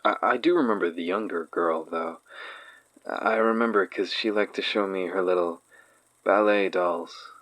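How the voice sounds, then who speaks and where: A man's voice: deep voice, normal speed, fairly bright tone, no room sound, rough recording. An actor playing an uneasy man haunted by childhood memories, giving a hesitant, reflective testimony in a horror audio drama. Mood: wistful recollection. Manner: hesitant, reflective, sighing delivery.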